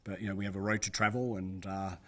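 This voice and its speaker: A middle-aged man gives interview answers in a deep, low-pitched voice. He talks quickly and thinks aloud, hedging his points as he goes. He sounds like a business advisor weighing his words. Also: level tone, bright, forceful tone. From New Zealand. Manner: thoughtful, hedging with frequent "I think" and "you know".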